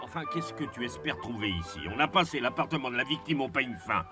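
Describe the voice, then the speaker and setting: A man's voice: mid-range voice, expressive, fast, over loud music, lightly acted. A man playing a grumbling, sceptical police official in a crime radio play, sarcastic and impatient. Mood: grumbling, baffled. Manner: sarcastic, huffy, incredulous. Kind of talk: French radio crime drama acting.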